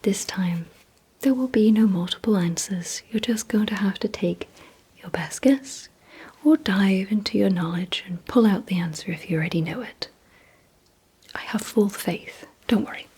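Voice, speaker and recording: A woman whispering breathily in an ASMR vampire roleplay, speaking deliberately to the listener as a gently instructing host. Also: mid-range voice. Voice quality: breathy, mostly unvoiced whisper.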